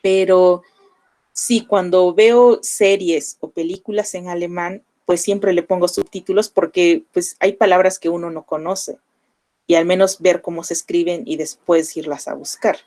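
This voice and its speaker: A young Mexican woman with a Mexican Spanish accent, explaining to her students in a relaxed classroom manner full of fillers. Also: lowish voice, measured pace. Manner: conversational, explanatory, with frequent fillers. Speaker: language teacher. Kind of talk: online class lecture.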